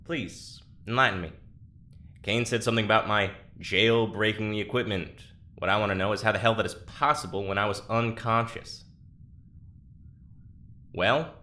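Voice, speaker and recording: An actor playing a guarded, sardonic young lab subject in an audio drama, with a halting, dry delivery. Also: male voice, fairly low pitch, sharp tone. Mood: bitter, sarcastic.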